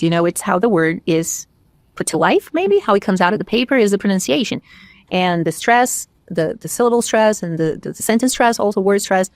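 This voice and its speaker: A woman with a slight Brazilian accent chats as an English teacher who grew up speaking Portuguese. She makes her points emphatically in a conversational way. Her voice sits at a middle pitch in a very dry recording. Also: dry recording. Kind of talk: casual conversational discussion.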